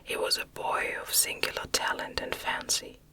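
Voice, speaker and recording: A woman reads a classic novel as ASMR in a breathy, unvoiced whisper, with soft, measured storytelling. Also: low-quality recording. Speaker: ASMR audiobook reader.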